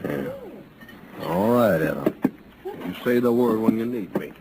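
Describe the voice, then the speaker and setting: A man's voice: lowish voice, expressive. An American man playing a surly, jealous gunman in a radio western, blunt and threatening. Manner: threatening, blunt, drawn-out taunting. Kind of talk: old-time radio western acting. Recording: noisy old radio drama recording.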